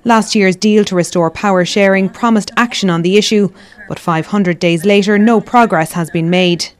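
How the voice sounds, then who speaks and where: A woman voices a scripted news report. She speaks calmly and evenly, at a middle pitch. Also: moderate pace. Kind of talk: scripted news package narration.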